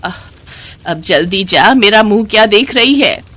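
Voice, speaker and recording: A woman's voice: medium pitch, lively intonation, measured pace, a bit bright. A woman voicing a miserly, bossy older mother-in-law who scolds and complains in a storybook tale. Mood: moaning, complaining.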